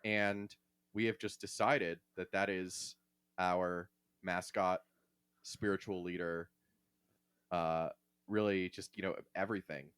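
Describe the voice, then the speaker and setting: A man's voice: sits low, a bit bright, clean tone, no echo. A man co-hosting a casual podcast, talking in a joking, wry way. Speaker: podcast co-host.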